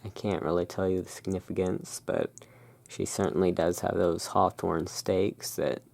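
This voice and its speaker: A person speaking in a halting, musing way, explaining things as the owner of a gothic curiosity shop in an ASMR roleplay. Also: sits low, little pitch movement, vocal fry, darkish tone, no echo. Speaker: roleplaying host. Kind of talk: ASMR roleplay, showing tarot cards.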